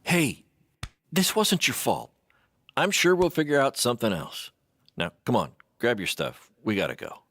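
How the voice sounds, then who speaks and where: A man playing an excitable, scheming small-time wrestling promoter in a video game cutscene, with a self-satisfied salesman's manner. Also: medium pitch, normal speed, no room sound, studio quality, exaggerated voice. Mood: reassuring.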